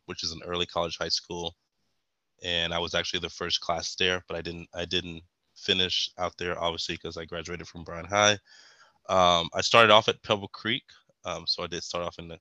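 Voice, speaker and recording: A man's voice: sits low, sharp tone, smooth, dry recording. A young man from Texas, a guest in a casual interview, rambling with frequent ums and restarts. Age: young adult.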